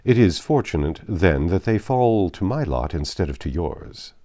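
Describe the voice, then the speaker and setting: A man voicing a proud, reserved young gentleman in an audiobook of a classic novel, speaking in a formal, measured, cutting way. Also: low-pitched, animated. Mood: cool, curt.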